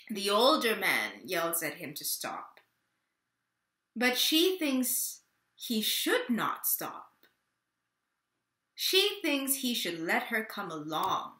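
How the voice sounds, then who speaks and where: A woman performing a dramatic monologue as a confused, childlike mother with memory loss, halting and full of wonder. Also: medium pitch, pushed and bright, heavily acted. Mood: yearning. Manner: slow, halting, wondering delivery with lingering pauses. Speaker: actor in a staged reading. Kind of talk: dramatic third-person monologue, read on book. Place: plain indoor room at home. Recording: roomy home webcam sound.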